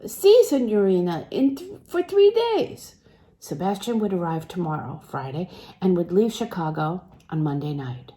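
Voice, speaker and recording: A woman's voice: fairly low pitch, warm tone. A woman reading literary fiction aloud as an audiobook narrator. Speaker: audiobook reader.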